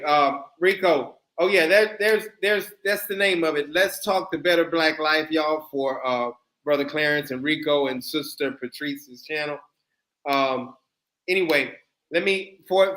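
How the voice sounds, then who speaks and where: A man's voice: a little high, unhurried, fairly bright tone, rough recording. A man in his thirties hosting a livestream, chatting loosely and joking with guests. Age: 30s. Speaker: channel host. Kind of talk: casual livestream chat.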